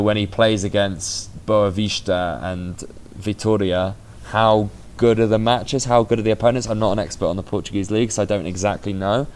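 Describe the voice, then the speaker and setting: A man's voice: lowish voice, darkish tone, slight background noise. A man co-hosting a football podcast, talking casually with a dry sense of humour and thinking aloud. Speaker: podcast co-host.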